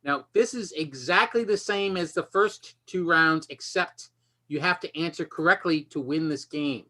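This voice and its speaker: A man hosts a casual online game show in a bright, forceful voice. He talks off the cuff with joking, self-deprecating asides, as if on a video call. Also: a little high, moderate pace. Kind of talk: casual unscripted hosting of an online quiz.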